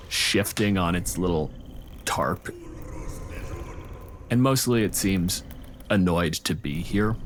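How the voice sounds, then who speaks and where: A man narrating a tabletop game as game master, speaking in measured, halting phrases. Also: on the low side, a little hiss or hum.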